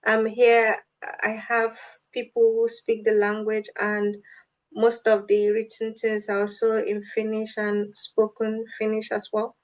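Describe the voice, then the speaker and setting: A woman's voice: medium pitch, completely flat delivery, measured pace, rough recording. A young woman with a Nigerian accent, a guest in an interview, earnest and reflective with light amusement. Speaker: guest, language advocate. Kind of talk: interview answers.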